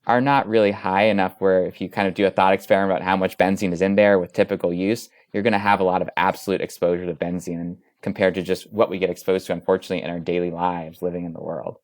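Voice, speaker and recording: Deep voiced guy doctor explaining study stuff in an interview, measured, very dry audio. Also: flat delivery, no room sound. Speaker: physician researcher, interview guest.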